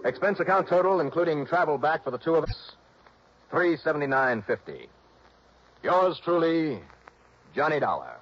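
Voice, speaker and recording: An actor in a vintage radio drama playing a tough male investigator, calm, clipped and dry. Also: on the high side, normal speed, pauses often. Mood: narrating. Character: tough, cool-headed male private investigator. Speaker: insurance investigator lead. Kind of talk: 1960s radio drama acting and narration. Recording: dull vintage radio broadcast, faint hiss.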